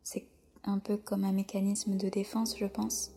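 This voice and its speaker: A soft-spoken French woman, a podcast guest, answering slowly with many hesitant pauses in a whispery voice. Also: mid-range voice, level tone, gravelly. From France. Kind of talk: podcast interview.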